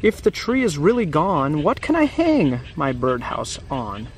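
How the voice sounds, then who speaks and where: A man's voice: on the high side, wildly swooping pitch, measured pace. A man in his thirties reading a picture book aloud for children outdoors, with simple phrasing, chatty asides and questions to young listeners. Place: outdoors in a grassy, wooded yard. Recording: outdoor camera audio with background noise.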